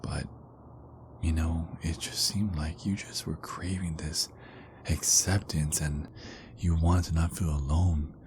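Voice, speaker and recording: A man playing a big, affectionate werewolf boyfriend in an intimate ASMR roleplay, murmuring hesitantly and often slipping into breathy whispers. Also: very deep voice, fairly even intonation, slow, darkish tone. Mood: soft, nostalgic. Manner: hesitant, rambling, murmured pillow talk. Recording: clearly noisy background ambience.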